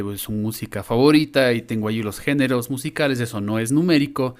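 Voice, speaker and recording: Latin American man teaching informally online. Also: medium pitch. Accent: Latin American Spanish. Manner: informal, frequent check-in tags. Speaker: university lecturer. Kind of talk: explanatory online lesson. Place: indoor room, online class.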